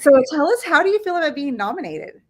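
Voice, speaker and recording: Enthusiastic woman interviewer with a fairly high voice, hosting a live interview. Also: very animated, fast talker, a bit bright. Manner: upbeat, enthusiastic.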